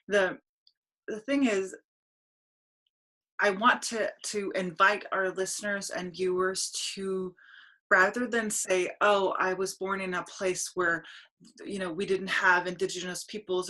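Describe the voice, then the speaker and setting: A woman from Denmark hosts a spiritual podcast over a webcam call. Her voice is bright and forceful and sits slightly low, and she talks earnestly, stopping and restarting as she searches for words. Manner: earnest, halting, with many restarts. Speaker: podcast host. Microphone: video call webcam.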